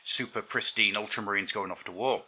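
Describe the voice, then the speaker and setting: A man co-hosting a casual podcast, talking in an animated, rambling way with plenty of fillers and restarts. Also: on the low side, a little fast, husky. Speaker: podcast co-host.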